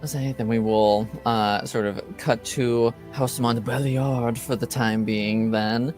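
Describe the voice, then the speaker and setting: A man acts as game master for a tabletop roleplaying session. He speaks slowly, haltingly working out the narration as he goes. Faint music plays behind his voice. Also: medium pitch, fairly even intonation, darkish tone. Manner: hesitant, halting delivery.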